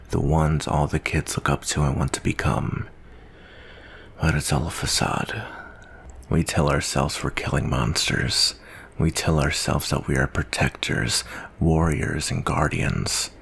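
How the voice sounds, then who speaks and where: A man playing a jaded, guilt-ridden monster-hunting soldier in an ASMR roleplay monologue, speaking in a slow, brooding, weary way with a gravelly, husky voice. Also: a bass voice, fairly bright tone, full character voice. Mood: brooding, bitter.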